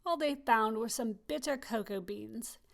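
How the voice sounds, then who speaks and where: A woman reading a children's picture book aloud, expressive and slowing down on key phrases. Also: fairly high pitch, vocal fry. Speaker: storybook reader. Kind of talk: children's story read-aloud.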